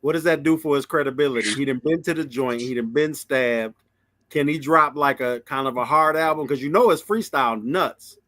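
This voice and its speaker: A man's voice: mid-range voice, brisk, fairly bright tone, no room sound. A man co-hosting a casual podcast chat, asking questions in a laid-back way. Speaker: podcast co-host.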